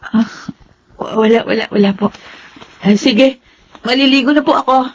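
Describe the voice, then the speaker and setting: An actress with a Filipino accent playing an evasive, slightly sardonic young adult daughter in a radio drama. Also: female voice, a little high, moderate pace, slight background noise, natural voice. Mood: flustered, evasive.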